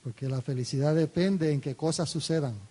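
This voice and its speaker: A man interpreting a sermon into Spanish, phrase by phrase, in a steady way. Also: on the high side, brisk. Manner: steady, follows the preacher phrase by phrase.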